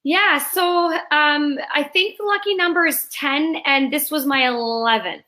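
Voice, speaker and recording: A hoarse woman in her thirties from Canada, a guest on a video call. Her voice is high and very bright, a little raspy from strain. She tells her story with cheerful energy. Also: unhurried. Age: 30s. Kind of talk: live video call interview.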